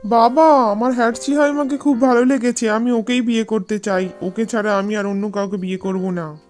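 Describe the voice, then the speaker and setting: A woman's voice: a little high, steady tone, normal speed, darkish tone, faint music behind, natural voice. A woman voicing an earnest, determined young man, a landowner's son in a cartoon fairy tale.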